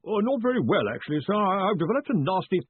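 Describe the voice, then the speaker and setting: A man voicing a nervous, deferential male researcher in a storybook, stammering and hesitant in a squeaky, quavering voice. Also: sing-song, fast, husky, no room sound, narrow, tinny audio, full character voice. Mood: apologetic.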